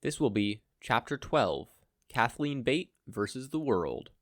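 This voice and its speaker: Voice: medium pitch, sing-song, a little slow, dry recording, studio quality. A fan fiction reader of unclear sex giving scripted story narration.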